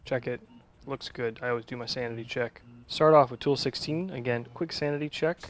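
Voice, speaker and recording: A man's voice: medium pitch, brisk. A man in his thirties walking through a shop job in a casual instructional way, thinking aloud and correcting himself as he goes, in a machine shop. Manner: thinks aloud while working, with self-corrections. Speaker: machine shop owner and video host.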